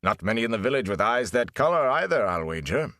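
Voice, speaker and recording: A man reading a book aloud and voicing a flamboyant older male gleeman who is theatrical and scornful, with a grand, booming delivery. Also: very animated, quick pace, fairly bright tone, no echo, clean studio sound. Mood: appraising.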